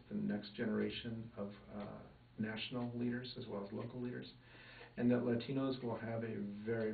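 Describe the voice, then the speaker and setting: A man from Minnesota giving an oral history interview, talking in a thoughtful, measured way with many fillers. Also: on the low side, gravelly, slight background noise. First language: English. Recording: echoey room, far mic, phone-like band.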